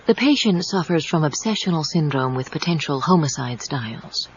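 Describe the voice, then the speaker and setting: An actress playing a poised, teasing psychiatrist in an audio drama, with a knowing, probing manner. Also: female voice, low-pitched, expressive, normal speed, a little hiss or hum. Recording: dull cassette audio with music.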